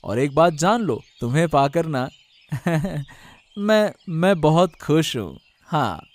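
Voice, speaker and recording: A man's voice: a little high, big pitch swings, takes it slowly, dry recording. A man voicing a kind, devoted young husband in a cartoon story, earnest and a little hesitant. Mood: tender. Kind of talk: Hindi cartoon story voice acting.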